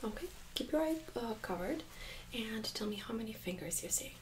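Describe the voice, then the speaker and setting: Casting: young woman, soft higher voice, whispered ASMR examiner, gentle instructions, some room noise. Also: expressive, brisk. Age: young adult. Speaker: ASMR creator playing a medical examiner. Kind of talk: ASMR medical role-play. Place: small indoor room set up as exam room.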